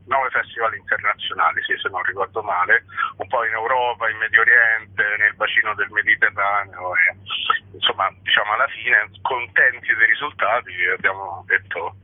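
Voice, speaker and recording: A man's voice: fairly low pitch, no room sound. A man, a playwright and director, explaining his show at length in a radio interview over the phone, often hedging his words. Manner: talkative, hedging with "diciamo". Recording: tinny phone line, dry.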